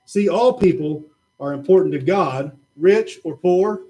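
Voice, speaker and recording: A man's voice: high voice, lively intonation, a little slow, poor audio. A man patiently telling a Bible story to children in a church puppet show. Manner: patient, gently explaining.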